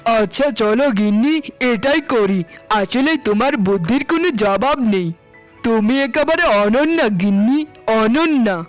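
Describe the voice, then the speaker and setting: A man plays an ageing landlord in a cartoon melodrama. He is meek and doting, with an unusually high, pleading voice over faint music. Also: lively intonation, a little slow. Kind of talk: Bengali cartoon melodrama acting.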